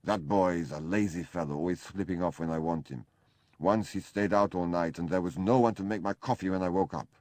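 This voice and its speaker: A man's voice: deep voice, fairly quick, a little mellow, no room sound, natural voice. A man reading an audiobook, voicing a crude, sick man who complains bluntly. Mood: complaining. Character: sick, surly, crude male companion.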